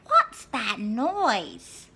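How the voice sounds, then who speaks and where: A woman voicing a vain old granny from a storybook, with a drawn-out, self-admiring delivery. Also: a little high, huge pitch swings, creaky, sharp tone, a little hiss or hum, voice pushed up, heavily acted. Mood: puzzled. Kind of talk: storybook character voice.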